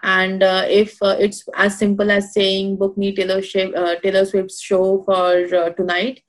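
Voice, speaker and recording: A woman with an Indian accent speaks in a relaxed, conversational way. Her voice sits at an ordinary middle pitch. She keeps stopping to think with "uh" and "you know" as she explains. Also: unhurried. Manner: many fillers, thinks aloud with "you know" and "uh". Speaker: guest expert. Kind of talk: casual conversational interview.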